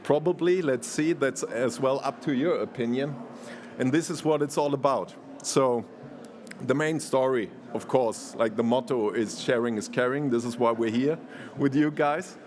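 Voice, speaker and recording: A man from Germany giving a conference presentation, halting and full of restarts, laughing easily. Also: medium pitch, a little mellow, a little hiss or hum. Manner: hesitant, many fillers and restarts, laughs easily. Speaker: conference speaker.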